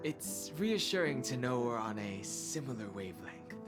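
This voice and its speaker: An actor playing a reserved, courteous young traveler in an anime game, polite and formal, over guitar music. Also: male voice, medium pitch, moderate pace, natural voice. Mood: composed. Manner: even-tempered, polite, formal.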